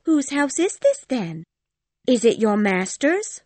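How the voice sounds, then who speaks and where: A woman playing a spirited, haughty young girl in an audiobook story, with an imperious, indignant and expressive way of talking. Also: a little high, takes it slowly, dry recording, exaggerated voice. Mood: curious. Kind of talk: dramatised audiobook dialogue.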